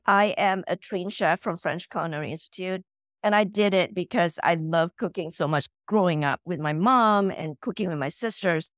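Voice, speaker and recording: Woman from Malaysia, middle-aged, animated guest, dry close sound. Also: fairly low pitch, moderate pace, no echo. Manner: animated, repeats words when starting sentences. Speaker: guest, company founder. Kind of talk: casual interview chat. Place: home room, video call.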